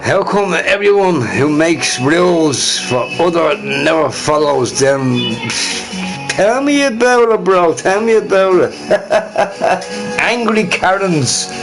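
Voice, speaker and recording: Lively rambling man streamer, music behind. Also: sits high, takes it slowly, creaky, poor audio. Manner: casual, profane, laughs often. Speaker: livestreamer. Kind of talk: rambling solo stream chat.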